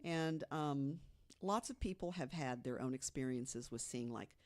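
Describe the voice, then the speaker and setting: A woman on a podcast, casually telling an urban legend, with lots of "you know" and hushed tones for the scary moments. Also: extremely low pitch, a little slow. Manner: chatty storyteller, fills with "you know", drops to hushed tones for the scary parts. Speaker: podcast co-host. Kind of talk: casual storytelling chat.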